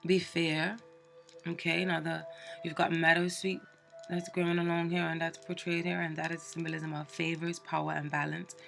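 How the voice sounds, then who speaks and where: A woman's voice: lowish voice, little pitch movement, normal speed, creaky, a bit bright, soft background music. A woman with a cold, sounding stuffed up, giving a chatty tarot reading with plenty of fillers and restarts. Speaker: tarot reader. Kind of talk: casual tarot card reading.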